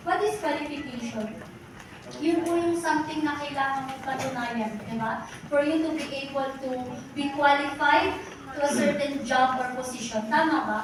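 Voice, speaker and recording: High-voiced Filipino woman in an echoey hall. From Philippines. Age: 40s. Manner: mixes English and Tagalog, with repeated rhetorical questions; addresses the audience directly again and again. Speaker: motivational speaker at a company event. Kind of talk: testimonial speech to an audience. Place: large echoey event hall. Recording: echoey hall, voice from far off, background noise.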